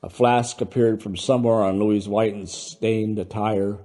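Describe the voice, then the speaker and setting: A man's voice: lowish voice, fairly even intonation, a little slow, warm tone. A man reading his own short story aloud as a scripted narration.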